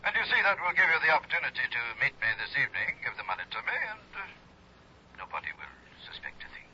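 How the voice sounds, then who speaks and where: A silky blackmailer gloats through every line, his bright, edgy tone rising and falling with relish. The sound is dull and muffled, like an old radio broadcast, with a faint hiss underneath. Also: medium pitch, wildly swooping pitch, heavily acted. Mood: gloating. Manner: silky, gloating, drawn-out menace. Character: smooth scheming male blackmailer, middle-aged. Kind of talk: 1960s radio drama acting.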